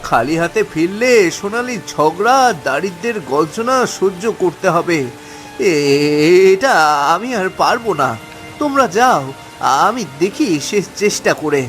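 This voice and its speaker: A man's voice: sits high, expressive, a little slow, a bit bright. A man playing a timid, henpecked poor fisherman in a cartoon, plaintive and melodramatic. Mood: despairing. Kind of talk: cartoon dialogue. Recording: music behind the voice.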